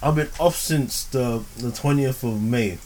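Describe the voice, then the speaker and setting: Animated man telling game stories. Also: medium pitch, slight background noise. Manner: animated storytelling, quick asides. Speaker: podcast co-host. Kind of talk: casual podcast chat.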